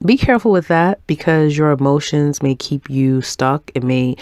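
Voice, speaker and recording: A man with a head cold giving a weekly tarot reading, unhurried and reassuring, with many pauses and ums. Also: medium pitch, darkish tone, no echo, clean studio sound. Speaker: tarot reader.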